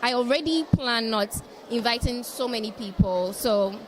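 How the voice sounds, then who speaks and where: A woman from Ghana answers a street interviewer in a casual, thoughtful way. Her voice sits a little high, and she circles back over her points as she talks. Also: moderate pace, rough recording. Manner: thoughtful, repeats herself while explaining.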